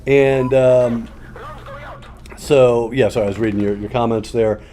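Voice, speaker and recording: A mellow-toned man of ordinary pitch chats casually while he plays a shooter game. He rambles, swears freely and breaks off to answer his viewers. Faint gunfire sounds in the background. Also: fairly even intonation, unhurried, a little hiss or hum. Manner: rambling, profane, reads and answers chat. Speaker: streamer.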